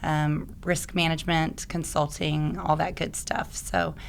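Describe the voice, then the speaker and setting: A woman from Oklahoma, a podcast guest, with a raspy, slightly hoarse voice, explaining things in a friendly way with frequent ums. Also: sits low, little pitch movement, a little slow, a little hiss or hum. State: hoarse from allergies. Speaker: podcast guest, risk advisor and attorney. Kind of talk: conversational podcast interview.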